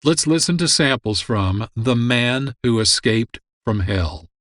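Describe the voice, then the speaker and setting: A man's voice: medium pitch, animated, slow pace, a bit bright, no room sound, clean studio sound. A man hosting a radio program with scripted, informative narration. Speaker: host.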